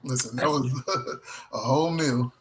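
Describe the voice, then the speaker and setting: A man, a songwriter and singer appearing as a guest, giving practical advice in casual conversation, measured and halting between his points. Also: mid-range voice, crowd noise.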